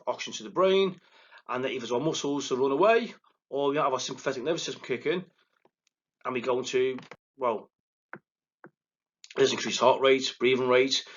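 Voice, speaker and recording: A man with a thick Liverpool accent giving a recorded lecture as a psychotherapist, excitable and quick, often stumbling and restarting his words. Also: medium pitch, slightly rough, muffled recording. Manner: fast, excitable delivery with verbal stumbles and restarts. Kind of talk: recorded lecture to counselling students. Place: presenting alone without a live audience.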